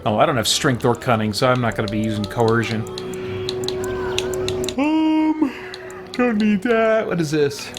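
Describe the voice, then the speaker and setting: Man, medium pitch, casual let's-play host. Musing, think-aloud delivery over prominent music. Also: lively intonation, measured pace, pauses often. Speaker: streamer playing and commenting on a video game.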